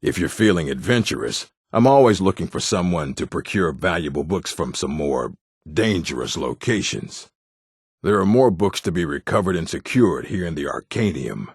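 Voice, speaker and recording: Bookish orc mage-librarian, low-pitched, rough husky tone, gruff, measured diction, fantasy game dialogue. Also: male voice, big pitch swings, dry recording, clean studio sound, full character voice. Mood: gruff, businesslike. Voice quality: deep, gravelly husky voice. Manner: measured, deliberate, learned diction.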